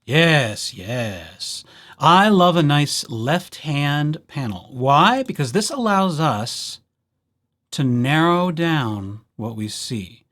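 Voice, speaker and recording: A man giving a casual software walkthrough as a librarian, in a playful, wry and chatty way. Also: fairly high pitch, sing-song, drawn-out words. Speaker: librarian presenting a tutorial series.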